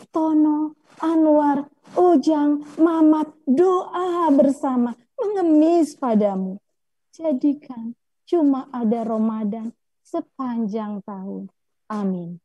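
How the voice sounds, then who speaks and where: A woman reciting poetry in an expressive, plaintive, theatrical way. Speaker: poet reading own poem. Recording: echoey, muffled low-fidelity audio.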